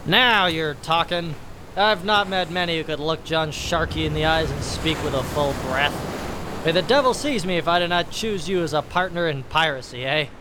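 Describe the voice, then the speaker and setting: High-pitched, blustering pirate captain played by a man. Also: moderate pace, noisy background, lightly acted. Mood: swaggering. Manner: blustering, swaggering. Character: boastful, menacing male pirate captain, quick to threaten. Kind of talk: audio drama acting.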